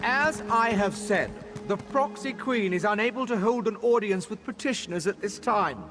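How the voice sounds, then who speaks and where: An actor playing an officious, dismissive male high elf gatekeeper in a fantasy game, speaking in a haughty, formal, curt way. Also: normal speed, music in the background, natural voice.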